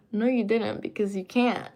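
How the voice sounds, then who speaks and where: A woman casually chatting on a livestream, reading and answering viewer comments while doing her makeup, rambling with lots of fillers and asides. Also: a little high.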